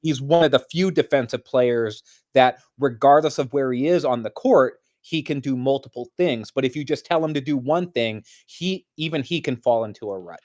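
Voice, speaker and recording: Male basketball commentator, medium pitch, analytical and measured, conversational delivery. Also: darkish tone.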